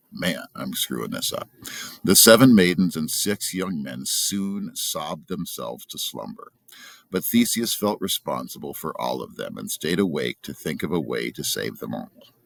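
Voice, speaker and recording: A man narrates a storybook in an extremely deep voice with a creaky edge. He reads aloud, and the recording is dry and close. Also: no room sound. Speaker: storybook reader.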